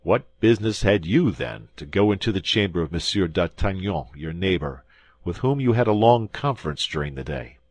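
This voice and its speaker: A man voicing a stern, blustering police commissary who questions loudly and severely. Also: sits low, lively intonation, normal speed, no room sound. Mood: interrogating. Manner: loud, severe interrogating.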